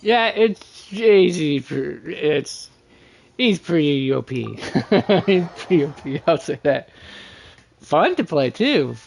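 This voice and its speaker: A man's voice: on the high side, sing-song, takes it slowly, creaky. A man doing casual game livestream commentary, rambling and thinking aloud to his viewers. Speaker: game streamer.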